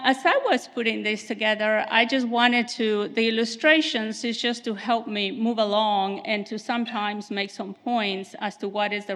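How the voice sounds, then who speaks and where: A woman attorney giving a measured, formal conference presentation in a soft voice. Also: a little high. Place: conference hall. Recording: reverberant hall.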